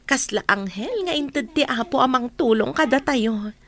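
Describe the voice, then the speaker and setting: A woman's voice: high-pitched, expressive, normal speed, fairly bright tone. An actress playing a poor, humble, devoted young working woman with an Ilocano accent in a radio drama. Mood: tender, earnest. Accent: Filipino, Ilocano.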